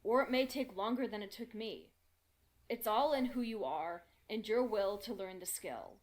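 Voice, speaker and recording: A woman voicing a calm, patient female unicorn who teaches magic in a measured, instructive way, in a fan fiction audio reading. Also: mid-range voice, natural voice. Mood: patient, encouraging.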